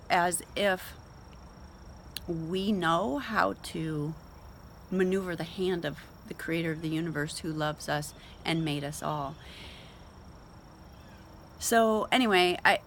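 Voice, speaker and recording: A woman with a slightly low voice speaks in a conversational, devotional style. Her delivery is informal and emphatic, as if chatting directly to listeners. The recording carries noticeable background noise. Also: slow pace, pauses often, a bit bright. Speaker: host of a Bible reading show. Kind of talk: casual devotional talk with scripture read aloud.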